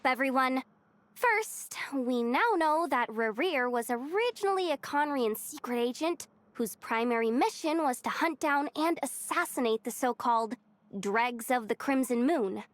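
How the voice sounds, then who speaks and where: An actress voicing a small, excitable, candid girlish companion in a video game, chatty and expressive. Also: high voice, a little slow. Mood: eager. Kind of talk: video game character acting. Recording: background music under the voice.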